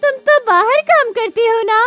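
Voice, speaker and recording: Cartoon casting: devoted village wife, woman performing, extremely high pitch, tender and worried, clear music underneath. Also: normal speed. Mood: caring. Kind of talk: Hindi moral-story cartoon dialogue. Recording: loud music behind the voice.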